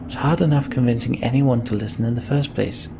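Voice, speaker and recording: A man's voice: medium pitch, fairly quick, creaky, background noise, voice pushed up, close to an everyday voice. A man voicing a weary, bitter fantasy game mage who speaks in a reproachful, pleading way. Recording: game music behind the voice.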